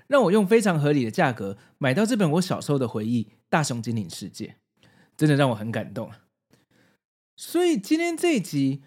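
A man's voice: high voice, a little mellow. A man from Taiwan with a Taiwanese Mandarin accent hosting a podcast in prepared narration. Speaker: podcast host.

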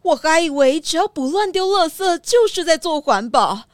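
A woman's voice: extremely high pitch, expressive, moderate pace. A woman voicing a friendly, naive, easily flustered small-town mayor in a children's audio drama, courteous and full of exclamations. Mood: shocked.

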